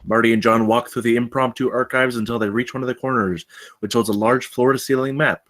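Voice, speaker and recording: A man's voice: medium pitch, fairly even intonation, fairly quick, fairly bright tone. A man narrating the scene headings and stage directions at a screenplay table read.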